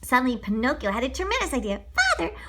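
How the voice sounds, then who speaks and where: A woman narrating a children's book aloud in a dramatic, expressive storybook style. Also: fairly high pitch, normal speed, noisy background. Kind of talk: animated storybook read-aloud.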